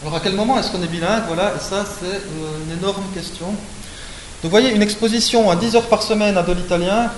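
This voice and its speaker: A man's voice: sits high, a little fast. Man, lecturer, explanatory, frequent fillers, roomy echo, faint hiss. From Switzerland. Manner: explanatory, peppered with hein and euh, frequent self-corrections. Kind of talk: academic lecture. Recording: echoey room with faint hiss.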